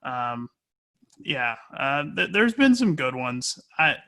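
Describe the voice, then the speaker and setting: Bright-voiced man, casually thinking aloud. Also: medium pitch, sing-song, slow. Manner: hesitant, thinking aloud with frequent stalling. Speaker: sports play-by-play announcer, interview guest. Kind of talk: casual conversational interview answers.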